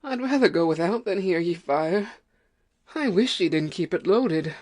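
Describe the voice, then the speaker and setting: Overworked farm wife played by a woman, lowish voice, fretful and complaining, rustic dialect, audio drama. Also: lively intonation, normal speed, a little mellow. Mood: nervous, nagging. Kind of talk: amateur audio play acting.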